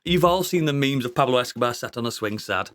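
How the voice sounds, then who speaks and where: A man co-hosting a podcast, chatting casually about a TV show, sweary and excitable, laughing often. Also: mid-range voice, quick pace, no echo, clean studio sound. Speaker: podcast co-host. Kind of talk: casual review chat.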